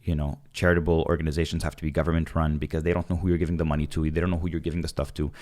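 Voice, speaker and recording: A young man from Canada talking in a casual conversation, animated, with many fillers and restarts. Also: rumbling low, racing. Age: young adult. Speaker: podcast guest.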